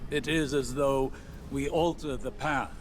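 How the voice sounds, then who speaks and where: A man playing a solemn, intense scholar in a video game, reasoning aloud in a measured, deliberate way. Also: mid-range voice, fairly even intonation, natural voice. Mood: grave. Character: cerebral, solemn male scholar, intense and absorbed. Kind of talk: dramatic video game exposition. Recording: noisy game ambience behind voice.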